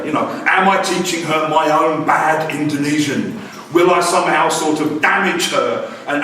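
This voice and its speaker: A man's voice: on the high side, fairly bright tone, a little hiss or hum. A man in his forties telling a wry, self-deprecating personal story about family life as a language teacher. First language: English. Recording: very echoey room, distant mic.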